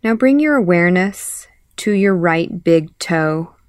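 A woman leading a guided meditation as an instructor, calmly and in short, measured phrases with pauses. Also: fairly low pitch, no echo, clean studio sound. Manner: calm, measured, pausing between short phrases. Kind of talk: guided body scan meditation.

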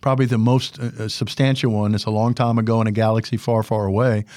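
An older man with a big, commanding voice speaks as a guest in an interview. He tells his stories with animated energy, repeating himself and restarting sentences. The recording is close and dry, with no room sound. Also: lowish voice, fast talker, no room sound. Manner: animated storyteller, repeats words and restarts often. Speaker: guest, former military public affairs officer and instructor. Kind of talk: casual podcast interview.

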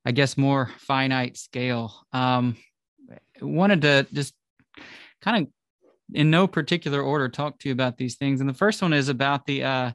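A man speaks as a podcast interviewer in a conversational style. His voice sits at an average pitch and he feels his way toward each question in a roundabout manner. The sound is dry, with little room on it. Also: moderate pace, a bit bright, dry recording. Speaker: podcast host interviewing a guest.

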